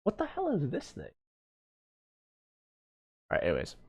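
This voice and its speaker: A man doing casual live reaction commentary as a streamer, excitable, joking and prone to swearing. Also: sits high, normal speed, husky, no room sound. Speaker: streamer reacting to a fan motion comic.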